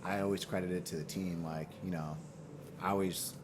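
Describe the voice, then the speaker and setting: A man with a low voice speaks in a casual interview over clearly audible room noise. He is earnest and slangy, circling back on phrases to drive a point home. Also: fairly even intonation, a little fast. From Boston and Nigeria. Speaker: fashion brand founder, interview guest.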